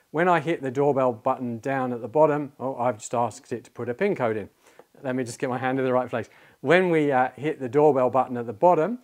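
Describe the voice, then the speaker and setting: Middle-aged man demonstrating tech, conversational and halting. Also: mid-range voice. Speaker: tech reviewer, presenter. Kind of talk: casual product demonstration and walkthrough. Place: small indoor studio office. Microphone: lapel mic.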